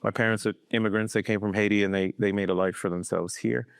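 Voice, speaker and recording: Man in his fifties with a smooth voice. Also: on the low side, fairly even intonation, fast, no room sound, clean studio sound. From Boston, USA. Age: 50s. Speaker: podcast guest. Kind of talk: casual conversational interview.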